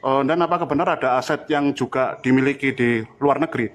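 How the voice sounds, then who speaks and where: A man's voice: mid-range voice, a little fast. A man asking formal, somewhat hesitant questions as a reporter. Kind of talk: press conference questioning.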